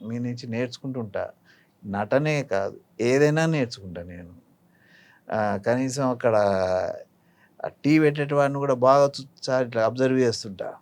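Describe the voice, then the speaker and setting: A man's voice: medium pitch, moderate pace, pauses often, darkish tone. A middle-aged or older man with a Telangana Telugu accent, talking as an interviewed actor, reflecting and giving advice through examples. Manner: didactic, illustrating points with examples.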